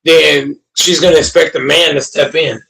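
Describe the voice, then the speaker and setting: A man's voice: a little high, fairly even intonation, normal speed, vocal fry, bright, forceful tone, slight background noise, poor audio. A man guest in a livestream debate, talking earnestly and repeating himself.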